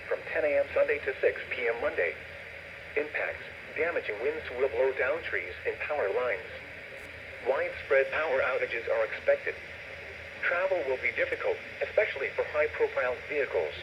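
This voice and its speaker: A dull, lo-fi radio broadcast with clear noise carries a computerized man's voice. He has a medium pitch and announces official weather alerts in a measured, impersonal, scripted manner. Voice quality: synthetic text-to-speech voice. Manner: flat, measured, impersonal delivery. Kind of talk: formal scripted weather warning bulletin. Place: radio receiver playback of a broadcast.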